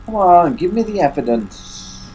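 A man's voice: high voice, big pitch swings, measured pace, a little mellow, slight background noise, low-quality recording. An English man commentating a horror game, muttering and grumbling to himself, with a stuffy nose and hiccups. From England. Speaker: gaming YouTuber. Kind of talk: casual let's play commentary.

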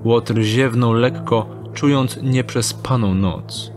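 A man narrates a fantasy audio drama in steady, descriptive storytelling. His voice sits at a middle pitch. Music plays clearly behind him. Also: slow pace.

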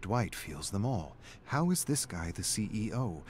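A man narrates a scripted game story. His voice is deep and his delivery measured and dramatic, with faint ambient noise behind him. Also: sing-song, a little mellow.

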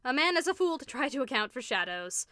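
A woman's voice: high-pitched, big pitch swings, fast, sharp tone, dry recording, clean studio sound, pitched above the performer's own voice, exaggerated voice. A woman voicing a nervous man who blusters and forces an easy tone. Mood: forced ease.

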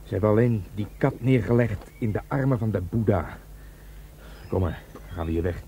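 An actor playing an easygoing, gently tolerant Dutch policeman in a radio drama. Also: lowish voice, moderate pace, slight background noise, close to an everyday voice. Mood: gentle.

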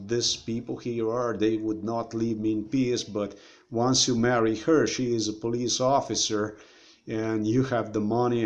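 A man's voice: on the low side, dull audio. A man in his thirties rambling through a screen-share vlog, repeating himself and talking straight to viewers from a room. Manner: meandering, repeats himself, addresses viewers directly. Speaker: vlogger.

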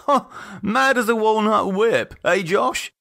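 A man's voice: high-pitched, slow pace, creaky, sharp tone, voice pushed up, heavily acted. A man voicing an irate, indignant young male student neighbour with a British accent in an audiobook. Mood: indignant, agitated. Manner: indignant, exclamatory. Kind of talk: audiobook character dialogue.

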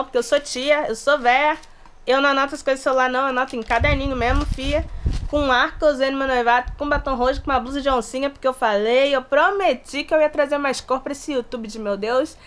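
A woman's voice: high-pitched, quick pace. A woman in her late twenties from inland Rio de Janeiro state, with a Brazilian accent that mixes Rio, São Paulo and Minas. She chats playfully to the camera in her bedroom. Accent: Brazilian Portuguese, mix of Rio, São Paulo and Minas. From Resende, Rio de Janeiro state, Brazil. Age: 20s. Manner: chatty, playful, animated hand gestures. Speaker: YouTuber. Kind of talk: casual chat to camera. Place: small bedroom indoors. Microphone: camera close in front.

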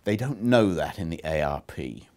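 A man's voice: extremely low pitch, animated, normal speed, a little mellow, voice pushed down. A man reading a novel aloud as an earnest, well-mannered young American woman. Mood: modest. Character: earnest, polite young American woman, wartime ambulance driver. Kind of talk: audiobook-style character reading.

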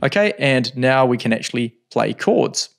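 A man in his thirties teaching music software in a home studio, explaining calmly with frequent ums. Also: mid-range voice, normal speed, vocal fry. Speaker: music production tutor. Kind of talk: screen-recorded software tutorial.

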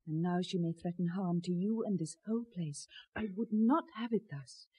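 An actress playing a sorrowful, proud medieval noblewoman in a 1970s radio drama, speaking softly and breathily. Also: on the low side, moderate pace, no room sound. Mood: anguished, resolute. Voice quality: soft, breathy.

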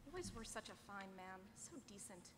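A woman playing a loving, wistful woman of the underworld, tender and measured, at a live table read. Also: medium pitch, lively intonation, a bit bright, close to an everyday voice. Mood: tender, regretful. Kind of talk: live table read of a sci-fi comedy script. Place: large convention hall panel stage. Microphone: table microphone on stand. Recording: echoey, noisy convention hall.

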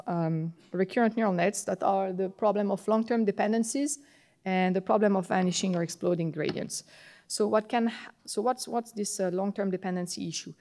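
A woman's voice: medium pitch, a little mellow. French-accented woman giving a technical lecture. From France. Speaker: conference tutorial presenter. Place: large conference hall, on stage at a podium.

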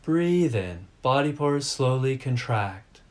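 A man leading a guided meditation as a teacher, giving calm, deliberate instructions in short, measured phrases. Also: mid-range voice.

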